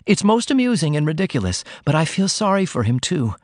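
A man's voice: fairly high pitch, very animated, brisk, no echo, studio quality, pitched above the performer's own voice. A man voicing an earnest, innocent young woman who confides in her mother in an audiobook reading. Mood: earnest confiding.

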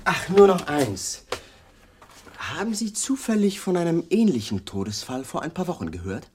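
An actor playing a shrewd, persistent male police detective in a radio drama, asking calm, politely needling questions. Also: on the high side, moderate pace, slight background noise. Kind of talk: 1980s German radio drama acting.

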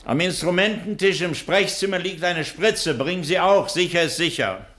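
An actor playing a smug, menacing doctor and criminal mastermind in a theatrical, commanding 1960s German radio play style. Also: male voice, high-pitched, animated, normal speed, fairly bright tone. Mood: brisk, irritated. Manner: theatrical, self-satisfied, commanding. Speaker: villain in a radio crime play.

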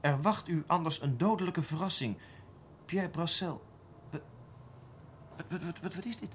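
A man playing an eager younger police detective in a radio drama, animated and questioning, quick to sound astonished. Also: fairly high pitch, lightly acted. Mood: puzzled. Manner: animated, questioning, repeats words when puzzled. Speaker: actor in a detective radio play. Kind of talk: naturalistic radio drama dialogue. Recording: audible background noise.

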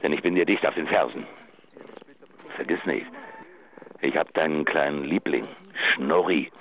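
Low-voiced menacing man on a phone. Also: a little slow. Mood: cold threatening. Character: menacing man threatening a debtor, coldly vindictive. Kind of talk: German radio drama acting. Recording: tinny, noisy phone-line recording.

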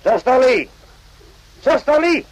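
An actor playing an irritable, suspicious army medic in a radio drama, with a gruff, interrogating manner. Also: male voice, very high-pitched, big pitch swings, slow, heavily acted. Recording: dull lo-fi radio play recording.

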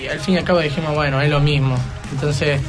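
A man's voice: fairly high pitch, fairly even intonation, quick pace, music in the background. A teenage boy with an Argentine accent giving casual answers as a student guest on radio.

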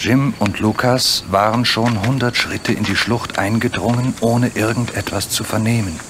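A man's voice: fairly low pitch, fairly even intonation, moderate pace, background noise. A man narrating a scripted children's story as its storyteller. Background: music.

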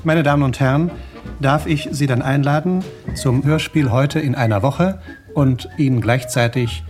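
A man's voice: mid-range voice, normal speed, a little mellow, soft background music. A man speaking as a radio announcer in a formal, courteous archive sign-off style.

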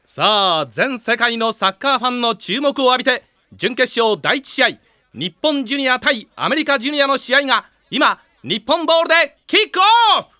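An actor playing an excitable cartoon soccer stadium announcer, calling the match with dramatic emphasis. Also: male voice, extremely high pitch, moderate pace, smooth, no echo, exaggerated voice. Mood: excited. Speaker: sports play-by-play commentator. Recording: loud music behind the voice.

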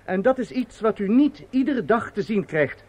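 A man's voice: sits high, lively intonation, moderate pace, a little mellow. An actor in a radio drama playing a flustered, self-satisfied male opera impresario, muttering and hesitant or pompously speechifying.

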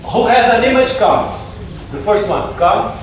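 Echoey, high-voiced workshop leader, male. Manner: halting, directive non-native English. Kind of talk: workshop instruction. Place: large echoey room, far from mic. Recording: distant, very echoey, slight background noise.

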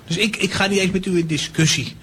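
An actor playing a pompous, petty train conductor in a Dutch radio play, officious and stammering when flustered. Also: male voice, high-pitched, quick pace, a bit bright, slight background noise. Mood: smug, officious. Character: pompous petty train conductor who turns cowering and apologetic.

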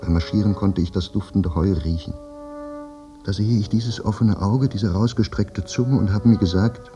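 An actor playing a maimed, anguished soldier, speaking a brooding, plaintive and macabrely frank monologue in a radio drama. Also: male voice, sits low, dark tone, close to an everyday voice. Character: maimed, anguished male war soldier confessing his fate. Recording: muffled radio drama recording, music behind.

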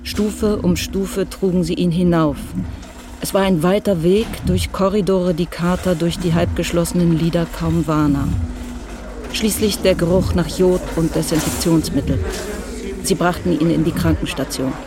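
A narrator reading a crime radio play in a measured, even way. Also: mid-range voice, background noise. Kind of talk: scripted narration.

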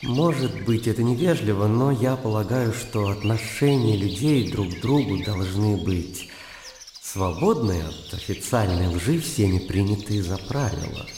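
An actor playing a fervent, high-strung young idealist gentleman in a radio play, arguing in formal, rhetorical language. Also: lively intonation, a little slow, roomy sound, in a large hall, background noise. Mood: earnest, polite.

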